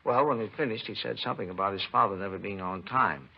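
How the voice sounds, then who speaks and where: Nervous fussy victim in a 50s radio play, quick gravelly voice, dull old recording. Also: male voice, fairly low pitch. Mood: shaken, explaining. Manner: fretful, insistent, complaining. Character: anxious, fussy, needy male diamond dealer, robbery victim. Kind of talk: 1950s radio drama acting.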